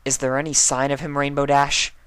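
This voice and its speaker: A man's voice: medium pitch, monotone, a little fast, a bit bright, clean tone, voice pushed up, natural voice. A man voicing an earnest, anxious young female unicorn pony who is bossy but caring, speaking in an urgent, emphatic way. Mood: pleading.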